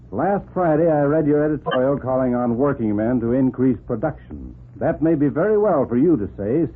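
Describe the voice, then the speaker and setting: An actor playing a gruff, indignant newspaper editor who speaks emphatically, in 1940s radio drama style. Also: male voice, medium pitch. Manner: forceful, emphatic, declaiming. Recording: phone-like 1940s radio recording.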